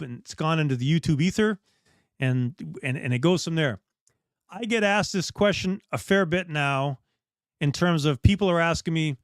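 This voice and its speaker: Middle-aged man, candid, rambling host, a bit high in pitch, dry close recording. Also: no echo. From Bahamas. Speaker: dive shop host. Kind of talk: casual live chat.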